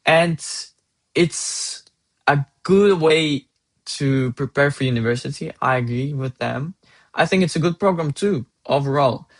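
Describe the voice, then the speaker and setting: A young man from Russia with a Russian accent, giving earnest, halting advice as a student and restarting phrases mid-sentence. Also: a little high. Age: young adult. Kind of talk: casual advice monologue.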